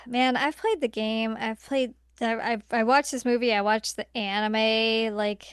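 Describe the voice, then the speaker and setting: A woman's voice: a little high, fairly even intonation, measured pace, clear, resonant tone, no echo, clean studio sound. A woman co-hosting a podcast in casual, informal conversation, emphasizing points by repeating herself. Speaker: podcast co-host. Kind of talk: casual chat, film ranking discussion.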